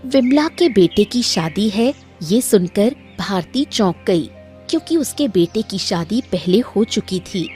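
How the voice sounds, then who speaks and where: A woman's voice: medium pitch, lively intonation, unhurried, darkish tone, soft background music. A woman reading scripted narration as the storyteller of a moral tale.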